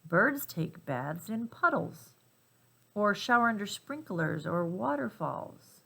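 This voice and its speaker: A woman reading a children's picture book aloud in an expressive, emphatic and playful way for young listeners. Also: mid-range voice, slow, creaky, slightly rough. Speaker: storybook reader.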